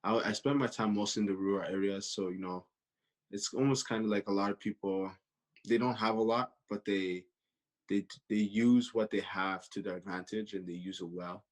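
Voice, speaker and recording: A man's voice: fairly low pitch, fairly even intonation, moderate pace. A man in his twenties from Canada, a podcast guest chatting casually, often repeating his phrases. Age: 20s. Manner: repeats phrases, peppers talk with "you know". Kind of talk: casual interview chat.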